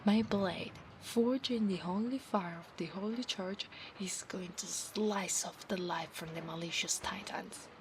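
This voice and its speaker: A woman's voice: medium pitch, a little slow, few pauses, background noise, natural voice. A woman voicing a proud, insistent female centaur knight of a holy order in an ASMR roleplay, formal and commanding in her speech. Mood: solemn, fierce. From Italy. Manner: formal, commanding, haughty, archaic phrasing.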